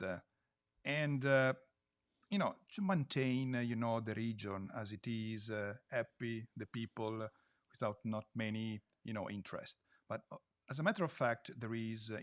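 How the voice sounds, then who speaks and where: A man, a winery guest in a casual interview chat, earnest and often pausing to search for words. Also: on the low side, no echo, studio quality.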